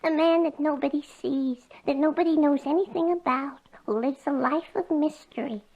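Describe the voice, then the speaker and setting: Ditzy chatty secretary girl, super high pitched, old radio comedy, totally earnest. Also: normal speed, lo-fi sound. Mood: innocent. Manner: naive, earnest malapropisms. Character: dim, chatty young female secretary, cheerfully muddled. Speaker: comedy sketch actress. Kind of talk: 1940s-50s radio comedy acting.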